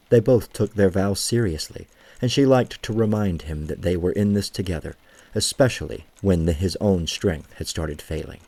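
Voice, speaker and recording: A man narrating a scripted story for an audio drama, with a husky voice and a measured, gentle storytelling manner. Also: sits low.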